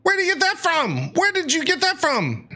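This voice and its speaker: A man's voice: very high-pitched, wildly swooping pitch, normal speed. A man doing live reaction commentary on a game, talking back to the characters in a playfully dramatic way. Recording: game music behind.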